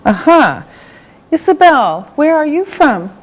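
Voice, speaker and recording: A woman leads a beginner English lesson in a patient, encouraging classroom tone. Her voice sits a little high, and she speaks extremely slowly. She breaks each phrase into single words and repeats every pattern. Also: big pitch swings, poor audio. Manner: very slow and deliberate, one word at a time, with repetition. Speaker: English teacher. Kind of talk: scripted language lesson for beginners.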